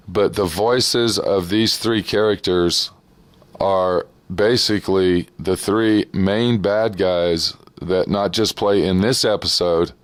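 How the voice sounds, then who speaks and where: A man's voice: deep voice, clear, resonant tone, dry recording. A man hosting and casually introducing an old radio episode, repeating words for emphasis.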